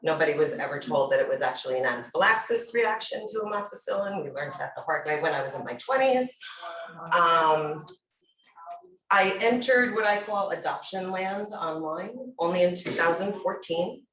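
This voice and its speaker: A woman's voice: on the low side, expressive, moderate pace, fairly bright tone. Woman, middle-aged, from New York, blunt storyteller, conference presenter, echoey distant mic. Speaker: workshop presenter. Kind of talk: personal introduction at a conference panel. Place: conference room. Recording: echoey room, voice from afar.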